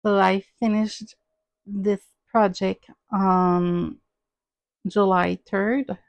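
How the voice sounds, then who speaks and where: Slow, hesitant woman with a Québécois French accent. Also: medium pitch, little pitch movement, gravelly, no room sound. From Quebec, Canada. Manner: hesitant, many "um" and "uh" fillers. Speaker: crafting channel host. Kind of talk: casual show-and-tell vlog.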